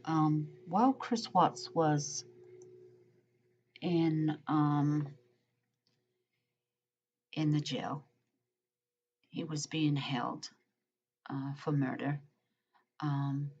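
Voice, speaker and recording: A woman's voice: low voice, fairly even intonation, drawn-out words, long pauses, a little mellow, breathy edge. A woman vlogger reading a letter aloud and commenting, hesitant with frequent ums and uhs, in muffled lo-fi audio. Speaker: true-crime vlogger.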